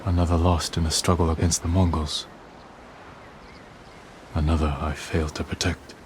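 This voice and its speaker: A very deep-voiced samurai for a game cutscene. He is stoic and protective, speaking with solemn restraint. Clear background noise sits behind him. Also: level tone. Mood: somber. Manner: measured, solemn. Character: stoic, grieving samurai warrior, grown man, protective. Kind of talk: video game cutscene acting.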